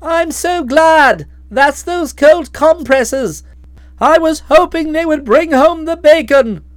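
A woman voicing a bluff, hearty middle-aged English aunt who speaks loudly and bluntly in an audiobook. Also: takes it slowly, no room sound. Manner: loud, booming and blunt.

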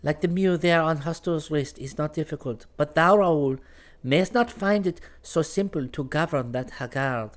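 A man's voice: a little high, moderate pace, voice pushed up, natural voice. A man voicing a gracious young French noblewoman falconer in an audiobook, with courtly, archaic phrasing sprinkled with French. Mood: commanding. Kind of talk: audiobook character voice.

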